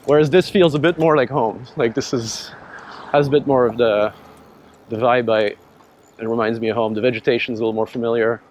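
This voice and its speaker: A man in his thirties with a French accent, talking casually while out riding, in a relaxed, rambling way with many restarts. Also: medium pitch, brisk, a little hiss or hum. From France. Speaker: startup founder. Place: outdoors on city streets.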